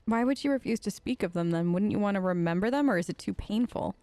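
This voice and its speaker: A woman's voice: mid-range voice, lively intonation, fast talker, warm tone, no room sound. A woman streaming a game playthrough, improvising role-play in an informal, conversational way. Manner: conversational, informal, reacting in character.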